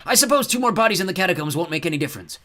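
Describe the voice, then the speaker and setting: A man's voice: high-pitched, lively intonation, very fast, sharp tone, voice pushed up. A man voicing the ghost of a mad old Roman who taunts his captives and screams threats. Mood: mocking. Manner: taunting, then shrieking with rage.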